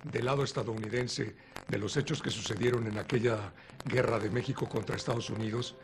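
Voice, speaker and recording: A man's voice: medium pitch, steady tone, brisk, gravelly. Male host, conversational. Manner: conversational, explanatory. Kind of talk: educational TV interview. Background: music underneath.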